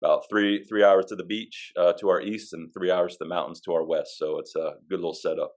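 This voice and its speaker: A man's voice: low voice, steady tone, brisk. A man, an athletic director from Pennsylvania, chatting casually in a podcast interview, easygoing and rambling with fillers.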